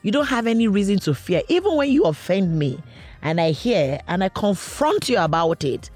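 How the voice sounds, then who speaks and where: A woman from Cross River in Nigeria, speaking earnestly as a guest in an interview, with a loud, carrying voice. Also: medium pitch, big pitch swings, moderate pace, soft background music. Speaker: interview guest, industry veteran. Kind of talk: conversational interview.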